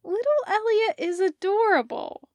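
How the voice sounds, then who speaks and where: A woman's voice: extremely high pitch, expressive, unhurried, dry recording, pitched above the performer's own voice. A woman voicing a quirky, eager, mischievously affectionate young woman in a story, with childlike delivery. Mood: delighted. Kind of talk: storybook character voice.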